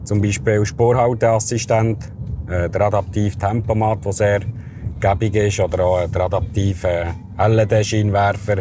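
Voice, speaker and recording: Schweizer Mann mit Schweizerdeutschem Akzent. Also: male voice, lowish voice, little pitch movement, a little slow, dark tone, slight background noise. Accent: Swiss German. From Switzerland. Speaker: ice hockey referee. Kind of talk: sponsored video voice-over and interview.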